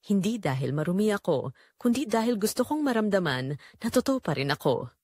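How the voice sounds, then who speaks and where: A woman playing a betrayed middle-aged mother, telling her story in first person with solemn, emotive, deliberate phrasing in an audio drama. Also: medium pitch, big pitch swings, a little mellow, no echo, clean studio sound. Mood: bitter, grieving. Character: betrayed middle-aged mother and wife, wounded, quietly bitter.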